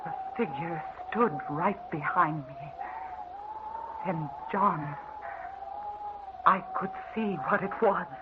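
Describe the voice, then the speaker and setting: Anxious haunted wife, muffled old radio. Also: female voice, lowish voice, takes it slowly, long pauses, very noisy. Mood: haunted, brooding. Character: anxious, haunted wife recounting supernatural terrors. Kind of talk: old-time radio drama acting.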